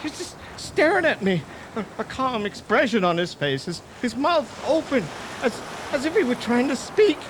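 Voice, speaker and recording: An anxious, high-voiced lighthouse keeper. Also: male voice, sing-song. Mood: shaken. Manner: halting, stammering when frightened. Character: frightened male lighthouse keeper, anxious and insistent. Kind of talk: radio drama acting. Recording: noisy cassette radio drama with sound effects.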